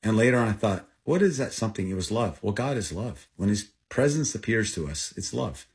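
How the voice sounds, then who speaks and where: Older male interview guest, husky low voice, earnest and halting, trails off softly. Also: animated. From United States, raised in Thailand. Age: 60s. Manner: earnest, halting with restarts, voice softening. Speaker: guest, aid group founder. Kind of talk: emotional interview storytelling.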